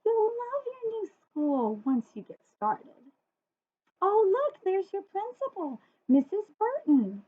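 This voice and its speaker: A woman's voice: very high voice, big pitch swings, takes it slowly, voice pushed up, exaggerated voice. A woman voicing a patient but firm man in a storybook, coaxing with emphasis. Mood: cheerful reassurance. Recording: phone-like, muffled thin audio.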